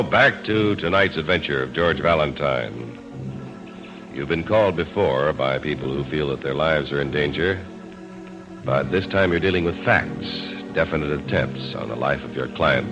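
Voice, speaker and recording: A man announcing in 1940s radio style, reading a script with measured emphasis. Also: rumbling low. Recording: dull old radio recording.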